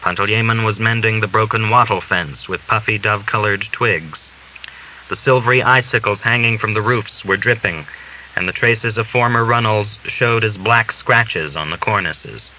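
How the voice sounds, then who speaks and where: A man reading literary narration as an audiobook narrator, in a measured, deliberate storytelling manner. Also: on the low side. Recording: dull hissy lo-fi recording.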